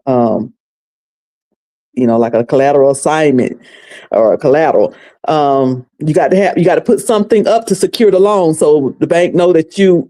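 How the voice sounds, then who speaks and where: A woman's voice: sits low, mellow. A woman from North Carolina with a Southern accent, hosting a casual recap stream and chatting folksily with her viewers. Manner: chatty, folksy, addresses viewers as y'all and sugar. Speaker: commentary livestream host.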